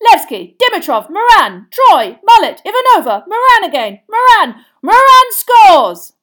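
A woman voicing an excited male sports commentator, bellowing exclamations breathlessly in a storybook reading. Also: very high voice, a little slow, voice pushed up, full character voice. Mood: frantic excitement.

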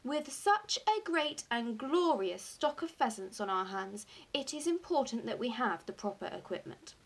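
A woman's voice: fairly high pitch, voice pushed up. Woman playing an exuberant storybook father, swooping and emphatic. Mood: grand, enthusiastic. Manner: excited, grandly emphatic, drawn-out key words. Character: exuberant father, jubilant and boastful storybook dad. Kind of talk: acted audiobook dialogue.

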